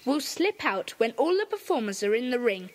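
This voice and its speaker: An actor playing a brisk, commanding boy who leads a children's club in an audio drama, on a hissy tape. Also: mid-range voice, very animated, natural voice. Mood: eager. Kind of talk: radio play acting.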